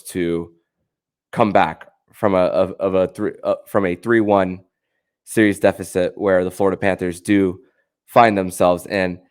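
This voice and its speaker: A man with a low, smooth, resonant voice hosts a sports podcast. He talks haltingly, often repeating and restarting his phrases as he addresses listeners and guest. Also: darkish tone. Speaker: podcast host.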